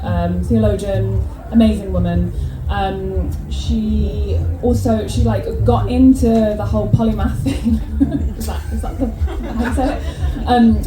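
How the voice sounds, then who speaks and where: Husky, hoarse woman poet in echoey space. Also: mid-range voice, background noise. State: hoarse, voice partly gone. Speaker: poet reading their own work at a festival. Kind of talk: live poetry reading with casual spoken introduction. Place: festival reading before a live audience. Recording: echoey live event with music behind.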